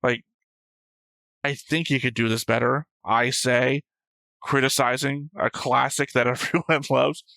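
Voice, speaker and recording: A man's voice: medium pitch, fairly even intonation, measured pace, vocal fry, sharp tone, no echo. A man co-hosting a reaction show, chatting casually and wandering into joking tangents. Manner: rambling, joking, talks in tangents. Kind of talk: casual discussion and commentary.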